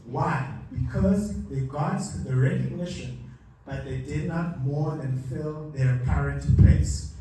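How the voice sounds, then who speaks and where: A man's voice: fairly high pitch, takes it slowly, few pauses, mellow, far from the mic, slight background noise. A man giving a live motivational masterclass, emphatic and repeating his key lines. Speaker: motivational speaker. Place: large hall with a live audience. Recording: echoey hall mic heard from afar.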